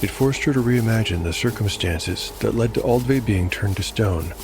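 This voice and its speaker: A man reading scripted dark fantasy narration in a measured storytelling manner. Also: lowish voice, creaky, background noise. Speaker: narrator and host. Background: music.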